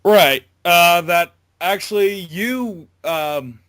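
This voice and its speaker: Bright-voiced young-sounding man, halting chat. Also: high voice, lively intonation, no echo, studio quality. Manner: stammering, halting, backtracking. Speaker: interview guest, amateur voice actor. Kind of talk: casual chat.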